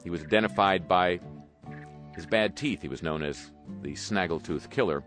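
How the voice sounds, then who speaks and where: A man's voice: sits low, moderate pace, a bit bright, soft background music. A man hosting a talk show, reading news items with wry, sarcastic asides. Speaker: host. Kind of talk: radio talk commentary.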